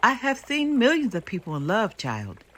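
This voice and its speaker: A woman's voice: fairly high pitch, huge pitch swings, moderate pace, a bit bright, pitched above the performer's own voice, heavily acted. An older woman voicing a mighty, ancient river in a storybook, grumpy yet indulgent, with solemn, weighty delivery. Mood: grumpy, scolding.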